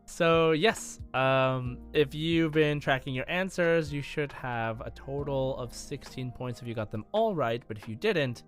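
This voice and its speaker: A man's voice: mid-range voice, a little slow, hardly any pauses. Casual male podcast host, music behind. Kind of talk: casual gaming podcast chat.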